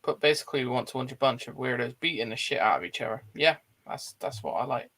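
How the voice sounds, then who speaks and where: A man's voice: medium pitch, expressive, fast talker, sharp tone. A man with a British accent hosting an online film chat in a blunt, informal way, reading out comments. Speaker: host of an online film show.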